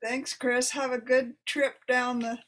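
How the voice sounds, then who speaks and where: A woman informally hosting a poetry reading and introducing poets in a halting manner. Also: high voice, little pitch movement, a bit bright. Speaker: host of a poetry reading event.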